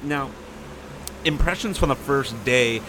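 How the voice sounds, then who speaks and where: A man's voice: medium pitch, slow, noisy background. A young man hosting a sports radio show, reading a scripted report on location. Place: on location at hockey training camp arena.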